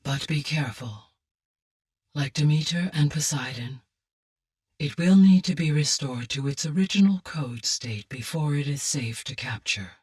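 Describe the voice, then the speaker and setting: An actress playing a calm, benevolent female artificial intelligence in a video game, speaking in formal, measured, precise phrases. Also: sits low, slightly rough, far from the mic, heavily acted. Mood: gently cautioning. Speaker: game character, advisor. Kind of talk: scripted video game dialogue.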